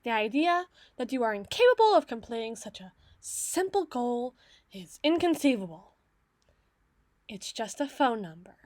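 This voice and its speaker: A woman's voice: on the high side, sing-song, normal speed, a little mellow, no echo. A woman playing a flustered, haughty teenage tsundere girl in an ASMR roleplay, bookish and socially awkward, with formal, wordy diction. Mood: flustered musing.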